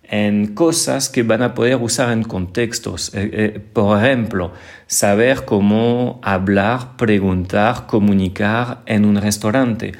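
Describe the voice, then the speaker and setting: A man with a fairly low voice speaks Spanish with a strong French accent. He hosts a casual podcast, answering listener questions patiently. He often repeats and restarts small words as he goes. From France. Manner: friendly, explanatory, many small repetitions and restarts. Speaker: podcast host and French teacher.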